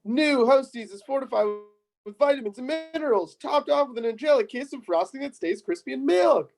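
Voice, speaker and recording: A man's voice: lively intonation, fairly quick, creaky, darkish tone, faint music behind, full character voice. A man voicing a cheesy cartoon Christ who cheerfully sells breakfast cereal like a TV commercial, in a script read-through. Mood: cheery salesmanship. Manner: upbeat mock commercial pitch. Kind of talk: table read of a film script.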